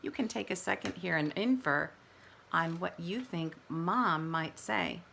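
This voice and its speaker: Woman, teacher, bright voice, slow overall pace, children's read-aloud, explanatory, questioning. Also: on the low side, expressive, creaky, a little hiss or hum. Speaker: kindergarten teacher reading aloud.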